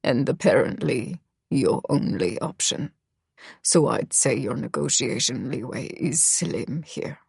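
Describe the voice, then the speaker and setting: A cold, smug young man, voiced by a woman narrator who growls his words low and quiet, dropping well below her own voice. He speaks slowly and with menace, in a dry, close recording. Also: lively intonation, gravelly, slightly rough, no echo, heavily acted. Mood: menacing.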